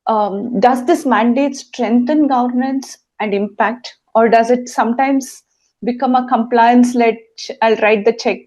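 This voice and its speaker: A woman's voice: a little high, fairly even intonation, a little slow, darkish tone, rough recording. A woman host, asking long, winding interview questions and correcting herself along the way. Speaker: podcast host.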